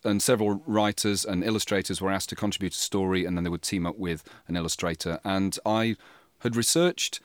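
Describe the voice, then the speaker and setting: A man's voice: deep voice, steady tone, fairly quick, dry recording. A British man hosting a podcast, chatting casually and explaining things, often in a quizzing way. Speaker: podcast host. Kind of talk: casual chat.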